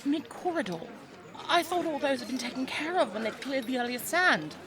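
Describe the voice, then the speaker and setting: A woman's voice: high-pitched, lively intonation, moderate pace, a crowd in the background. Woman acting a well-spoken, headstrong young English daughter, outdoor bustle. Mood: anxious, insistent. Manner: well-spoken, formal period phrasing. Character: young, headstrong, caring English daughter at a 1920s Egyptian dig. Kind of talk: scripted audio drama acting.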